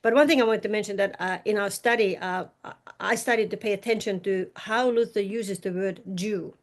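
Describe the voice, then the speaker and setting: A woman with a Finnish accent talks through ideas carefully, as a scholar and teacher would. Her pitch sits in the middle of the range, and she pauses and restarts her sentences often. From Finland. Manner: thoughtful, halting, frequent restarts, light laughs. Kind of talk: academic podcast discussion.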